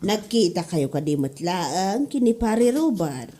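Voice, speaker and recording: Mellow, nosy Ilocano mother, played by an actress. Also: fairly low pitch, very animated, measured pace. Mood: probing, surprised. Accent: Filipino, Ilocano. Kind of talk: Ilocano comedy drama podcast acting.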